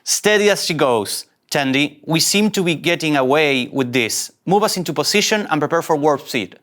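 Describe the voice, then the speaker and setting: An actor playing a nervous young male ensign who bluffs as a bold captain in a comic sci-fi table read, halting and self-doubting. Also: medium pitch, lively intonation, a bit bright, lightly acted. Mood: flustered authority.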